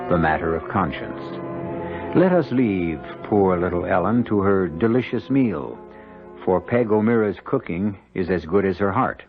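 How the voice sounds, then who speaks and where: A man narrating a 1970s radio mystery as host, in a measured, ominous, literary manner. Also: sits low. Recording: muffled old radio broadcast, music underneath.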